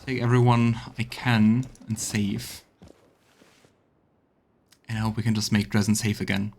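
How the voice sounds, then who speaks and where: A man's voice: fairly low pitch, little pitch movement, measured pace, vocal fry, a little hiss or hum. A man giving casual game commentary as a let's play streamer, speaking into a close mic. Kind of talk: casual game commentary mixed with reading dialogue aloud. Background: game music.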